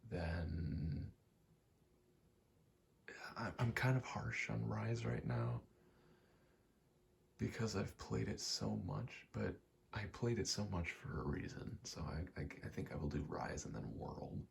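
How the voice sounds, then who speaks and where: A soft-spoken man giving a hesitant, self-deprecating ASMR ramble. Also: low voice, lively intonation, takes it slowly, creaky, long pauses. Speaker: video game ASMR creator.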